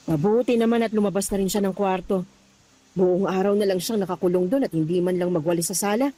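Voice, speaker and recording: A woman's voice: mid-range voice, moderate pace, mellow, close to an everyday voice. A woman playing an exasperated, overworked older mother who complains and calls out in a radio drama. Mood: weary grumbling. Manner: complaining, calling out. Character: exasperated overworked older mother nagging her daughter-in-law.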